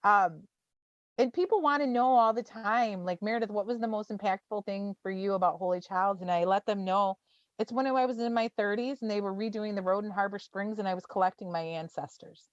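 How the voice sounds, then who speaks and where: A forty-year-old woman talks through an online town hall in a loose, gentle way, leaning on ums. Her audio is dry and close, with dull video-call sound. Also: mid-range voice, fairly quick. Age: 40s. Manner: informal, gentle, with many fillers.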